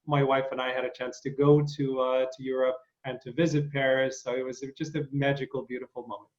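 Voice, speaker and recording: A man's voice: medium pitch, little pitch movement, a little fast, darkish tone, highs cut off. A man hosting a casual interview, enthusiastic and full of fillers and restarts. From Ukraine. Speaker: interview host.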